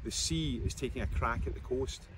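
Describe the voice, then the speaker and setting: A man's voice: mid-range voice, normal speed. A man in his fifties from Scotland, an author reading narrative prose aloud from his own book, outdoors. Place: outdoors by a seafront concrete wall. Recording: outdoor recording with background noise.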